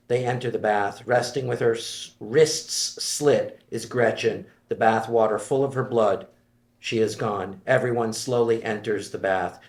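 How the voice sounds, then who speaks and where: A man reading stage directions aloud in a measured, steady way at a screenplay table read. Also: mid-range voice. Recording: echoey room.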